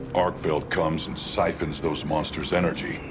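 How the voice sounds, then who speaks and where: A stern, authoritative hunter with an extremely deep voice. He speaks in short, firm lines. Loud cinematic music sits behind him. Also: level tone, moderate pace. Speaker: game character, hunter. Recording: game cutscene with loud music.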